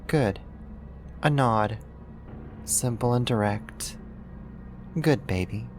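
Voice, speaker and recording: Acted possessive kidnapper neighbor, ASMR roleplay, unhurried delivery, coaxing pet-name sweetness, controlling menace, faint background noise. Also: medium pitch. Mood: doting, controlling. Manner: soft coaxing, doting, deliberate with pauses. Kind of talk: ASMR roleplay, intimate scripted monologue.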